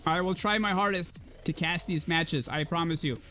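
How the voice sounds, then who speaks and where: A hoarse man in his thirties casting an esports league livestream, chatting casually with viewers while filling time. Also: fairly high pitch, moderate pace, pushed and bright. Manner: casual, chatty, addresses chat.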